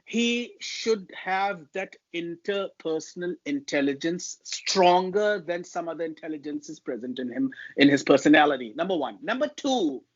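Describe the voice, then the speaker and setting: A man's voice: fairly high pitch, lively intonation, muffled recording. A man with a Pakistani accent, a native Urdu speaker, talking as an interview guest about public speaking and laying out his points one by one. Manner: measured, emphatic, lists points. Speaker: guest linguist.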